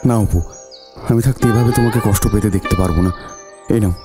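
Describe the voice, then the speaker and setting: An earnest young village friend in a cartoon drama, acted out by a performer. He is caring and insistent, speaking in short halting phrases over clear background music. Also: medium pitch, natural voice. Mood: concerned.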